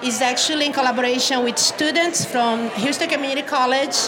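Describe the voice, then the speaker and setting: A woman's voice: high voice, brisk, crowd noise, rough recording. A woman with a Brazilian accent, a native Portuguese speaker, explaining community programs conversationally as an interview guest. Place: video call.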